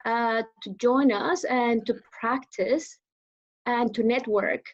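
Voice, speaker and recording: A woman with a Venezuelan Spanish accent talks in English, her second language, pausing often and restarting her sentences. Her voice sits a little high. The recording sounds dull and muffled. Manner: hesitant, many fillers and restarts. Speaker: guest speaker. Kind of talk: casual interview answers.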